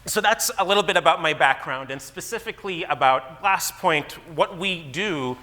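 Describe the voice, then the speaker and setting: Bright-voiced man presenting in a hall. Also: on the high side, normal speed. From Israel. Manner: casual, animated presenter with fillers. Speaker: guest speaker. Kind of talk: conference talk. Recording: long hall reverb.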